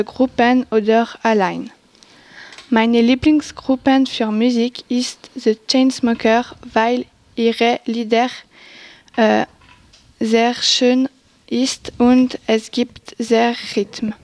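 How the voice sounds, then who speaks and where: Girl student talking about music, slow and careful, kinda high voice, dry sound. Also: level tone, a little mellow, no room sound. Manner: careful, broken learner phrasing. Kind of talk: classroom presentation in a learned language.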